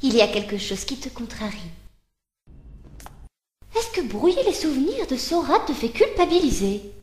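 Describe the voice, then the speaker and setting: Woman acting a mocking villainess, high roomy voice. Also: very animated, moderate pace. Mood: sly, teasing. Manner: taunting, mock-sweet. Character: mocking, sadistic young villainess, sly and condescending. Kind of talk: dramatic fan dub acting. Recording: roomy home dub under loud music.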